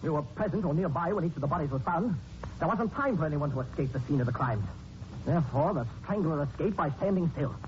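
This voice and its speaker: A man's voice: a little high, lively intonation, fast, darkish tone, close to an everyday voice. An actor playing a shrewd, wary male newspaper reporter, accusing and insistent, in 1940s radio drama style. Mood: accusing, tense. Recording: dull hissy 1940s radio recording.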